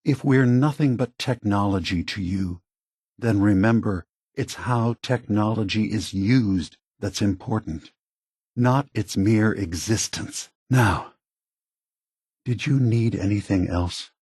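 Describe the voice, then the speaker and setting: A man voicing a weary, solemn android leader in a video game, speaking softly in a measured, grave, diplomatic manner. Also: fairly low pitch, husky, no room sound, clean studio sound. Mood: firm, reproachful. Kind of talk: scripted game dialogue.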